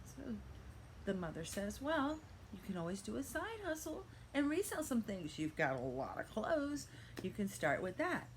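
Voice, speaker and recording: A woman's voice: medium pitch, sing-song, moderate pace, a little hiss or hum. An older woman telling a playful fairy-tale style story with theatrical narration and chatty asides. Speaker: vlogger telling a reselling story.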